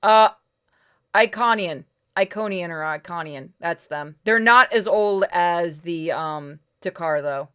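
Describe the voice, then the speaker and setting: A woman's voice: fairly low pitch, slow pace, a bit bright, clean tone. A woman streaming a video game, chatting casually and informally as she plays. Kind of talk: casual chat while playing a game on stream. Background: game music.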